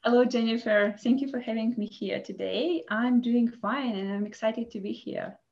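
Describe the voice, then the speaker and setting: A woman in her thirties from Russia with a Russian accent, telling her story as an interview guest in halting, casual speech with restarts. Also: fairly high pitch, creaky, dull audio. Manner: halting, frequent restarts and laughs. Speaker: guest, former Olympic skier. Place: home office, indoors.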